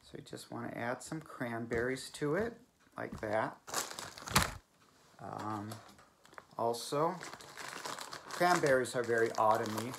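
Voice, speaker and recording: A man in drag hosting a spoof cooking show in a deadpan, mock-sincere gushing manner, seated at a table in a small room. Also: medium pitch, slow, gravelly, pauses often, heavy background noise. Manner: mock-sincere, gushing over cheap goods. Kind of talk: deadpan parody cooking demo.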